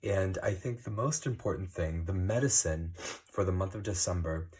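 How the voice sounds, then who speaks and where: A man with a cold, giving a slow, casual card reading with many ums. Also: deep voice, darkish tone, husky. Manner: unhurried, many ums and uhs. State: recovering from a cold, sniffly. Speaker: tarot reader. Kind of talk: monthly astrology card reading.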